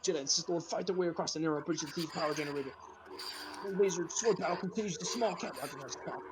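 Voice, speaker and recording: A man with a slightly high voice reads scene descriptions at a quick clip. He narrates informally, as in an amateur comedy read-through, and sometimes trips over words. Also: noisy background. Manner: informal, stumbles over words, adds sound effects.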